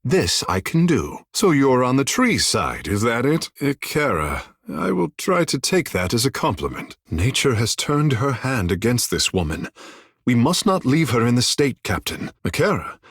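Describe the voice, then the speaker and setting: Flamboyant, theatrical island demigod, swooping delivery. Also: male voice, medium pitch, sing-song, moderate pace, slightly rough, no echo, clean studio sound. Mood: haughty, amused, then lofty, wry. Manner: theatrical, lofty, courtly phrasing. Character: flamboyant, vain, charming godlike islander man, water shaper. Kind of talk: video game character dialogue.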